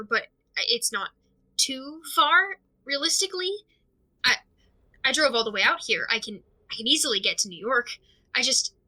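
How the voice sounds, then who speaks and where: A woman acting in an audio drama as an anxious, overwhelmed amateur investigator, talking through worries alone in a halting, stammering, rambling way. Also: on the high side, sharp tone, low-quality recording. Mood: anxious, reasoning. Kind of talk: audio drama monologue, recorded diary.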